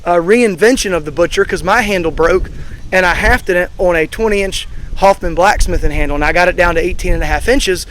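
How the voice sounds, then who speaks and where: A hoarse man in his thirties, host of an axe throwing channel, giving a rambling, opinionated review full of fillers. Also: high-pitched, fairly quick, fairly bright tone, a little hiss or hum. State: hoarse, recovering from being sick. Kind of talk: casual outdoor review vlog. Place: outdoors at a throwing range.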